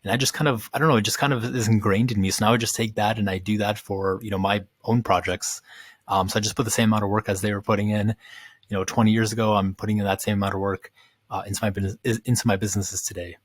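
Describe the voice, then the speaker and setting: Podcast guest, late-twenties man, low voice, loose and rambling, dry studio sound. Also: fairly even intonation, fast, no room sound. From Ukraine. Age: 20s. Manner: rambling, many fillers and restarts. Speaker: podcast guest, community founder. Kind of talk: casual interview talk.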